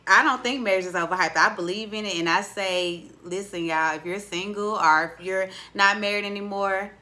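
A woman in her late twenties hosting a casual podcast interview, chatty and expressive, playfully teasing her guest, recorded on a studio mic in a small room. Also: medium pitch, normal speed. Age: 20s. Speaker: podcast host. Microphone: studio mic on boom arm.

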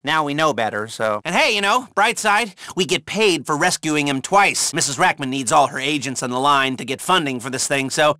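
Casting: excitable, sarcastic heist planner for a game, forceful and urgent, dry close sound. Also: male voice, fairly high pitch, moderate pace, no room sound. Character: snarky, excitable male heist planner barking orders. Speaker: mission handler. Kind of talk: video game voice acting.

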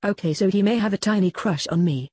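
Deadpan synthetic woman's voice. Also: medium pitch, fast talker, over loud music. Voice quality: synthetic text-to-speech voice. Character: sardonic disembodied female computer moderator. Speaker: moderator and sidekick. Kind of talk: scripted comedic dialogue.

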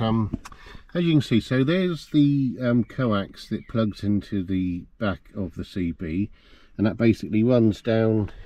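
Mellow, low-voiced middle-aged man. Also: slight background noise. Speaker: presenter of a car DIY video. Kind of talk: casual how-to demonstration. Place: outdoors in a yard and inside a parked car.

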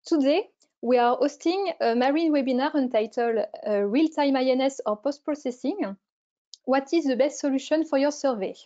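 A woman's voice: high voice, normal speed, mellow. A woman, an event manager opening a webinar, formal with frequent fillers. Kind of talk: scripted webinar introduction. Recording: muffled webinar audio.

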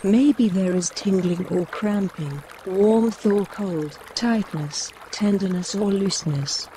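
A woman gently guiding a mindfulness meditation in measured short phrases, with pauses between them. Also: medium pitch, noisy background. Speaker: meditation guide. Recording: clear running stream water behind the voice. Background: trickling woodland stream.

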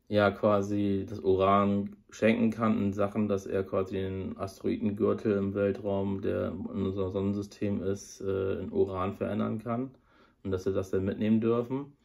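A man's voice: fairly low pitch, little pitch movement, normal speed, gravelly, a little mellow. A man in his thirties who has a cold, talking casually to a close camera in a small indoor room, rambling with many fillers. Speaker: audio drama reviewer. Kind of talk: casual review talk to camera. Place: small room under a sloped roof, indoors. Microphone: device camera at close range.

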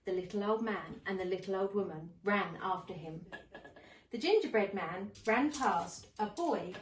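A woman in her forties reading a storybook to young children as a teacher, expressively and deliberately. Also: medium pitch, a little hiss or hum. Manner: deliberate, animated. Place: living room at home. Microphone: camera a few metres away. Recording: roomy, distant camera microphone.

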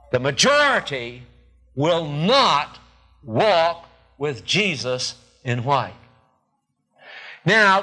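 A man in his sixties preaching a sermon from a church pulpit into podium microphones, emphatic and deliberate, with pauses and repeated phrases. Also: high-pitched, pushed and bright. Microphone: two podium microphones.

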